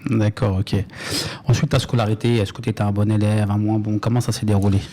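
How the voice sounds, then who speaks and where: A man's voice: on the low side, flat delivery, fast, mellow. A man hosting a podcast, interviewing conversationally and encouraging his guest with short acknowledgements. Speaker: podcast host.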